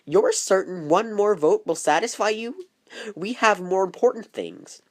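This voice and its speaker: A woman's voice: mid-range voice, animated, unhurried, natural voice. A woman voicing a stern, controlling head nurse with formal, condescending authority in an amateur film. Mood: controlled, firm. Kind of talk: scripted amateur film dialogue.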